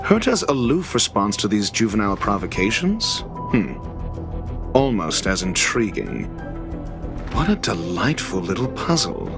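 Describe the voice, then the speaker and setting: An actor playing an arrogant, sardonic intellectual doctor in a video game, speaking in an articulate, disdainful and deliberate way. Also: male voice, on the low side, wildly swooping pitch, vocal fry, full character voice. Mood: musing, sardonic. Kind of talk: scripted video game dialogue. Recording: game music under the voice.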